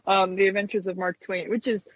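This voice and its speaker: A woman's voice: mid-range voice, fairly even intonation, a little fast, no echo, muffled recording. A woman in her thirties chatting casually and enthusiastically as an interview guest. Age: 30s. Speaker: guest, stop-motion animator.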